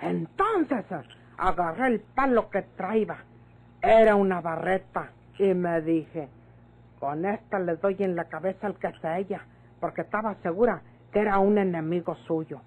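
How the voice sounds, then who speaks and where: An actress playing a protective, sharp-witted country woman with a northern Mexican accent in an old radio drama, earnest and rustic. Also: fairly low pitch, unhurried. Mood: sly recounting. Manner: earnest, rustic turns of speech.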